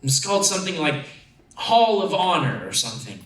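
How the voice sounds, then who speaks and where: A man reads a story aloud in a slightly high voice, with an actor's expressive delivery. The recording is roomy and echoing, with him standing some way from the microphone. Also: brisk. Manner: expressive, acting out dialogue. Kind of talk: dramatic first-person story reading. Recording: echoey room, distant microphone.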